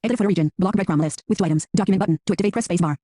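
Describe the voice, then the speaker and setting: A synthetic screen reader voice announcing interface labels in a flat, robotic manner. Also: medium pitch, rapid-fire, no echo, studio quality.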